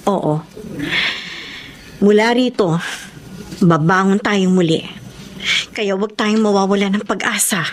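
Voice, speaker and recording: A woman's voice: mid-range voice, measured pace, pauses often, slight background noise, natural voice. An actress playing a resolute, caring young working woman, speaking earnestly and reassuringly in radio drama style. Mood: pleading, resolute. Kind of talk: emotional radio drama acting.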